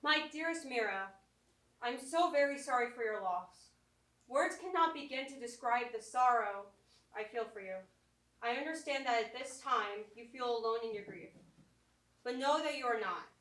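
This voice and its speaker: Grieving bitter mother, played by a woman, high pitch, forceful edge, accusatory, sarcastic, stage drama, distant echoey theatre recording. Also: normal speed, lightly acted. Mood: sorrowful. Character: grieving, bitter mother lashing out at her husband. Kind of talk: staged reading of a stage play. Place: small theatre, live stage performance. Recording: echoey theatre, picked up from afar.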